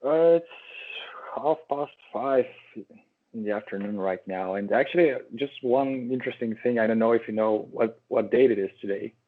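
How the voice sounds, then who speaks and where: A man from Bulgaria, a guest on a podcast, talking in a relaxed way over a video call, with a few stumbles and restarts. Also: medium pitch, moderate pace. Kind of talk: casual remote interview chat. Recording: tinny phone-like call audio.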